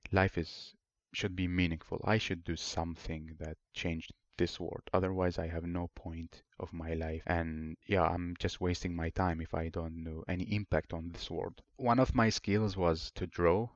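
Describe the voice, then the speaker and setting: A man in his twenties with an Iraqi Arabic accent, a native Arabic speaker, telling his own story as a podcast host in a solo monologue, earnest and reflective with frequent pauses. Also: low-pitched, no echo, dull audio. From Iraq. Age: 20s.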